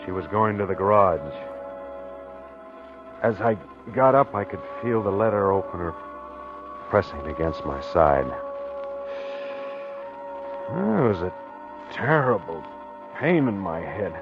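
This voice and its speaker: An actor playing a dazed, obsessed husband, narrating haltingly in the style of 1940s radio drama. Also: male voice, on the low side, expressive. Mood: groggy, brooding. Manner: halting, groggy, brooding. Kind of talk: 1940s radio acting, first-person narration. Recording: muffled vintage radio recording, organ music.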